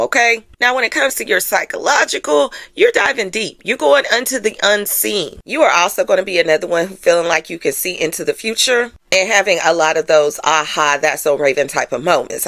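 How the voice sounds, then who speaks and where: A woman's voice: fairly low pitch, a bit bright, no echo. A woman giving a casual astrology forecast as host, in a sassy, playful, conversational way with slangy, emphatic phrasing.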